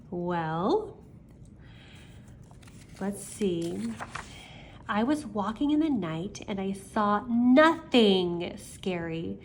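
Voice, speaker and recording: A woman's voice: mid-range voice, slight background noise. An older woman, a grandmother reading children's stories aloud expressively, with chatty asides to her young listeners.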